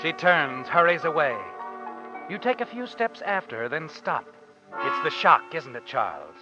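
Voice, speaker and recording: An actor playing an ominous, taunting unseen narrator in 1940s suspense radio style. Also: male voice, fairly high pitch, sing-song, unhurried. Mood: knowing, suspenseful. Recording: muffled old radio with music underneath.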